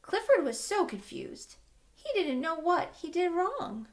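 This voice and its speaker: Woman reading a storybook with lively, swooping pitch. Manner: expressive, with drawn-out emphasis for young listeners. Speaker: children's book reader. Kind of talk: animated storybook read-aloud.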